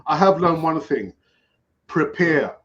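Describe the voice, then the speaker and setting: A man's voice: fairly high pitch, lively intonation, slow, fairly bright tone. A man giving advice in a casual panel chat, repeating key words for emphasis. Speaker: panel speaker. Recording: dull, muffled sound.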